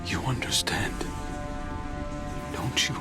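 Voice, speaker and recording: A man's voice: slow, over loud music, lightly acted. A man playing an earnest, conscience-driven young warrior in a science fiction game, with an intense, pleading delivery. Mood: earnest, defensive.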